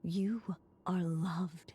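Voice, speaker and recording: A woman's voice: on the low side, fairly even intonation, dark tone, husky, long reverb tail, heavily acted. A woman playing a motherly witch who narrates a fairy tale in a video game, solemn, tender and formal. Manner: measured, formal, poetic.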